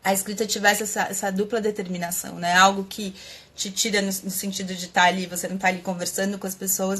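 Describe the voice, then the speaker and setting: Brief: Brazilian woman host, mid-pitched, reflective live talk, halting with fillers. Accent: Brazilian Portuguese. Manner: thinking aloud with many fillers and restarts. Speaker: host of a live stream on writing. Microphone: webcam or computer mic, live stream.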